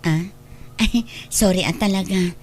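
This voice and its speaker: A woman playing a married woman who brushes off her former boyfriend, sounding brisk and dismissive in an audio drama. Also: lowish voice, slight background noise, natural voice.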